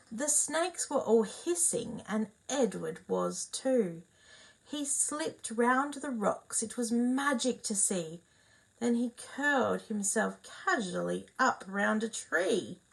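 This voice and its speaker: Expressive woman reading to children. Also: a little high, gravelly, poor audio. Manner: expressive, pausing to ask young listeners questions. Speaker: storyteller. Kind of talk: reading a children's picture book aloud.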